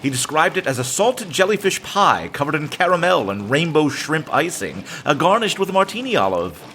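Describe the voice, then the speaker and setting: An actor playing a polite, hesitant dining car attendant in a comedic audio drama. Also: male voice, fairly high pitch, big pitch swings, fairly quick, sharp tone. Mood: earnest explaining. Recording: noticeable background noise, train ambience.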